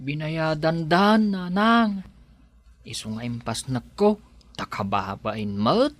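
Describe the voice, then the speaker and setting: A man's voice: fairly high pitch, animated, slow, dry recording. A man with an Ilocano Filipino accent playing an earnest, devoted grown son in a radio drama. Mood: reassuring, earnest.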